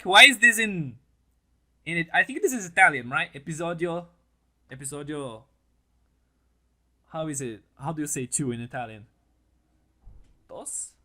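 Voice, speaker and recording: Excitable young man, bright tense voice. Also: fairly high pitch. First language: Portuguese. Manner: excitable, swears often, laughs a lot, restarts sentences. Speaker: anime reaction channel host. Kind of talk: casual reaction commentary to camera. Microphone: mic on a stand, set a bit farther than usual.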